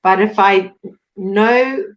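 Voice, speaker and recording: Woman, English tutor, unhurried and patient, mid-range voice. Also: medium pitch, highs cut off, a crowd in the background. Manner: patient, rephrases questions. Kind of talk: online language lesson conversation.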